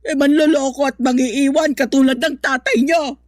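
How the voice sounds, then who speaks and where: A woman's voice: sits high, steady tone, normal speed, dry recording, clean studio sound, natural voice. An actress playing a heartbroken, defensive older mother in a radio-style drama, plaintive and tearful, with a Filipino accent. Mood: hurt, defensive.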